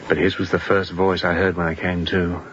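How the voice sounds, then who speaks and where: Low-voiced fugitive ship's mate played by a man, muffled. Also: level tone, a little fast, a little hiss or hum, close to an everyday voice. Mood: bitter, intense. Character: exhausted fugitive chief mate, intense, bitter, unrepentant. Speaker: actor in audio drama. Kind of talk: radio drama acting, confessional storytelling.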